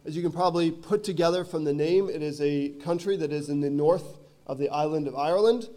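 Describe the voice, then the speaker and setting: Hoarse missionary man, mellow voice. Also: on the high side, a little fast. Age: 30s. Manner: earnest, frequent ums. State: hoarse from a cold. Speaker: visiting missionary. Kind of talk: church missionary talk. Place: church sanctuary.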